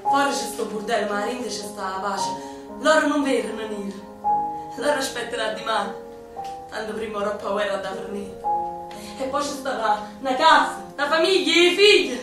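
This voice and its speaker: A spirited young Neapolitan woman sheltering from bombs, sharp-tongued with a singsong lilt, as a woman acts her in a radio play. Her voice is high and heard from some way off the mic. The room is heavily echoey, with music underneath. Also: normal speed, natural voice. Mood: tender. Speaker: stage actor. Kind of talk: theatrical radio play acting. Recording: echoey distant stage recording with music.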